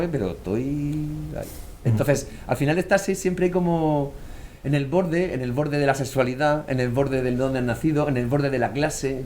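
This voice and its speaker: A Murcian man speaks fast in a mellow, darker voice, telling stories and slipping into the voices of the people he quotes. A faint room hum sits behind him. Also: mid-range voice. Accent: Murcian Spanish. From Murcia, Spain. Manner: animated storyteller, acts out quoted voices. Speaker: author guest. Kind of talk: casual conversational talk.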